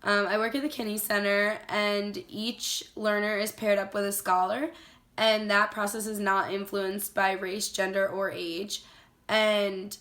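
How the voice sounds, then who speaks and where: A young woman speaks in a composed, measured way, keeping her tone very even throughout. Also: medium pitch. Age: young adult. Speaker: student interviewee. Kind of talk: interview answer.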